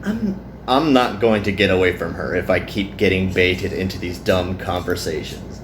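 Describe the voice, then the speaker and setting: A man's voice: low voice, expressive, normal speed, music in the background. A man doing a casual visual novel playthrough, reading aloud and adding joking, self-deprecating commentary. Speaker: let's player. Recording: roomy echo, some background noise.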